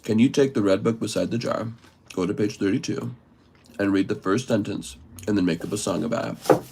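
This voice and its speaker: A man's voice: lowish voice, fairly quick, gravelly, mellow, slightly rough. A man hosting an improv livestream, playfully reading viewer messages and requests aloud in a casual chat. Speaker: improv performer and livestream host.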